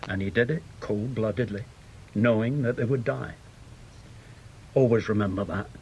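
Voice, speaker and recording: A man's voice: on the low side, normal speed, darkish tone, a little hiss or hum, lightly acted. Blunt, bitter veteran British spy played by a man. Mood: grave, emphatic. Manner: blunt, emphatic, scornful. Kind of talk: radio drama acting.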